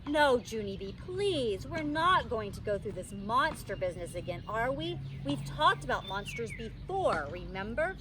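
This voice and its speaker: A woman's voice: fairly high pitch, very animated, moderate pace, few pauses, noisy background. A woman voicing a patient, weary, no-nonsense grandmother in a storybook reading, firm and reasoning, recorded outdoors in a park.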